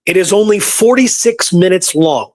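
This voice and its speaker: Guy co-hosting a podcast, voice a bit on the high side, chatty and emphatic like he loves telling a story. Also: expressive, moderate pace, darkish tone. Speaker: podcast co-host. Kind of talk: casual podcast chat.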